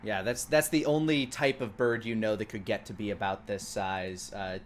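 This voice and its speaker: A man's voice: fairly low pitch, fairly even intonation, moderate pace. A man narrating a tabletop game as game master, improvising and searching for words as he describes things. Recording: clearly noisy background.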